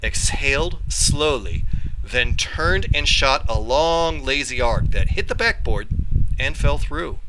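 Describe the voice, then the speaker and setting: A man reading a children's story aloud in an expressive, animated storytelling style. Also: a little high, takes it slowly, noisy background. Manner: animated, acts out dialogue. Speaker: reader, storyteller.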